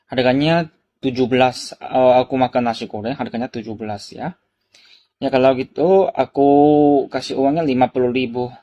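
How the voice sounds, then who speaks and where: A man's voice: medium pitch, dark tone, dull audio. A man from South Korea with a Korean accent, a native Korean speaker, telling personal stories casually as a vlogger, in simple repeated phrases with rhetorical questions to the listener. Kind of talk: casual personal storytelling to camera.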